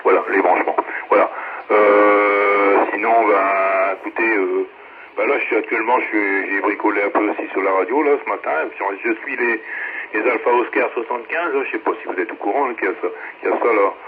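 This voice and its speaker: Male radio ham, deep voice, halting colloquial chat, narrow tinny two-way radio sound. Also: fairly even intonation. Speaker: amateur radio operator. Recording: tinny radio relay, faint noise.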